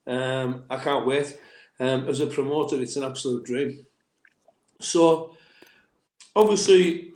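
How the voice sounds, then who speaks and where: A man's voice: medium pitch, a little mellow, echoey room. A man hosting a podcast and promoting an event, asking questions in a friendly, enthusiastic way. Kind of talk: casual interview chat.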